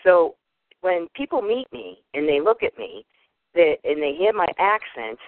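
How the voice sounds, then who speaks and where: A woman's voice: lowish voice, big pitch swings, measured pace, no echo. Boston lady calling in, chatty and upbeat, phone-line sound. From United States. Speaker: guest caller. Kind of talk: casual call-in chat. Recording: tinny phone line, dry.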